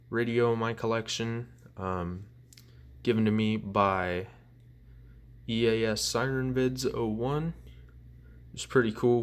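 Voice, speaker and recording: Slow-talking young man, casual and rambling. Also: on the low side, smooth. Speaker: collector presenting weather radios. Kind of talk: casual show-and-tell vlog.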